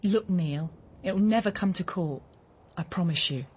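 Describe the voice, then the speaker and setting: An actress playing a blunt, impatient young mother living rough in a radio drama. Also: fairly low pitch, unhurried. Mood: teasing. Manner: blunt, swearing, teasing.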